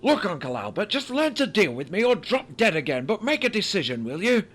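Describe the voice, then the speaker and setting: A man's voice: sits high, sing-song, fairly quick, a bit bright, slight background noise. A man with a Northern English accent voicing a pompous, sarcastic middle-aged man in a comedy dub. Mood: sneering.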